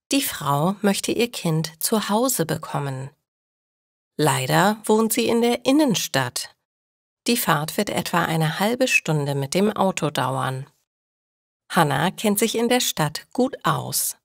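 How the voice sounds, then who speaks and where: A woman narrates a simple story for language learners. She speaks slowly, with a lively melody, in a dry, close recording. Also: fairly low pitch, no room sound. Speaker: narrator of German learning stories. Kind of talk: slow, clear scripted story narration for learners.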